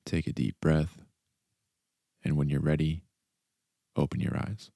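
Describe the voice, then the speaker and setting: Super deep gravelly guy doing calm guided meditation, slow deliberate phrases, really dry sound. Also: dry recording. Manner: calm, deliberate, soft instructional delivery with pauses. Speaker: mindfulness coach.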